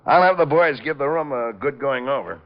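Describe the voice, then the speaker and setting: An actor playing a brisk, gruff police lieutenant in an old radio drama, terse and official in manner. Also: male voice, medium pitch, expressive, natural voice. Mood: matter-of-fact. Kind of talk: 1940s-50s radio detective acting. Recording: noisy, muffled old-time radio recording.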